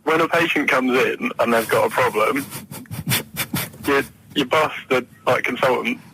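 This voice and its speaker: A man's voice: medium pitch, moderate pace, bright, forceful tone, a little hiss or hum, rough recording. A young man chatting casually on radio, giving matter-of-fact, reassuring explanations as a medical student guest. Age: young adult.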